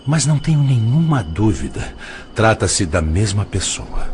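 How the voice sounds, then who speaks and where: Older earnest dad spirit, audio drama, deep voice that moves around a lot, music behind. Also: male voice, very animated, measured pace, darkish tone. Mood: intent, certain. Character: Pedro, older, earnest father and grieving spirit. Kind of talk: scripted audio drama dialogue.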